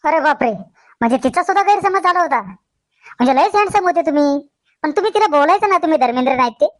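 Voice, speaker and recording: Woman playing very high-pitched cheeky village boy. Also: expressive, fast, no room sound, studio quality. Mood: teasing amazement. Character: cheeky, curious, gullible village youngster Pappya, a boy. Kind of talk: comedy cartoon dialogue.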